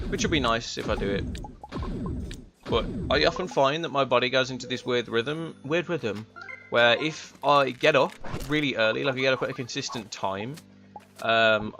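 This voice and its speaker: A young man with a slightly raspy voice, chatting in a rambling, laid-back way while streaming a game. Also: mid-range voice, pushed and bright. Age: young adult. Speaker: gaming streamer. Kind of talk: casual chat while playing. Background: game music.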